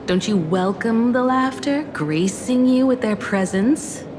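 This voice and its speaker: A woman's voice: on the high side, lively intonation, a little slow, background noise. A woman voicing a teasing young trickster in a video game, with a playful drawl. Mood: amused.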